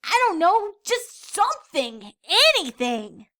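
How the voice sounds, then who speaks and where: Excitable little filly, high-pitched, brash and eager, animated delivery for storybook adventure. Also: female voice, takes it slowly, heavily acted. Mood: bored. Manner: dramatic, eager.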